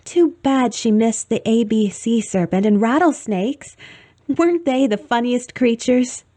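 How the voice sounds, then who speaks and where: A woman's voice: a little high, unhurried, dark tone, pitched above the performer's own voice. A woman voicing a young girl queen of a fairyland in a storybook, cheerful yet commanding. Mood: merry.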